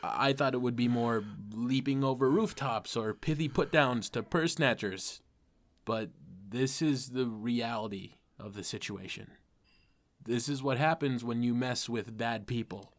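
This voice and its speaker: A man's voice: medium pitch, a little slow, natural voice. A man playing a wry, self-deprecating ordinary teenage boy who narrates his superhero story in a comic dub, confiding and sardonic.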